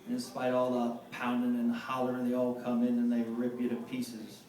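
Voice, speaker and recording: A man's voice: medium pitch, monotone, darkish tone, lightly acted. Man acting a grim old-salt shipwreck survivor, echoey room. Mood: haunted, grim. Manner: measured storytelling with clipped, folksy grammar. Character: grim, haunted sailor, survivor of a wartime shipwreck. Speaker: live monologue performer. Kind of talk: dramatic film monologue performed live from memory. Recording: echoey room, picked up from far off.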